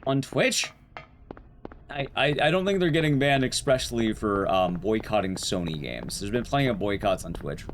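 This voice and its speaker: A man's voice: lowish voice, animated, normal speed, slight background noise. A man streaming a game, giving informal, rambling commentary to his chat. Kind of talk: casual live commentary to chat. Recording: game music behind the voice.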